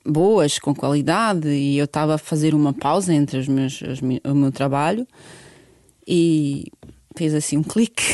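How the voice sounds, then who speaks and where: A woman's voice: deep voice, a little slow, a little mellow, no echo, studio quality. A middle-aged woman from Bosnia, speaking a learned earnestly and searching for words in a radio interview. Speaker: guest singer.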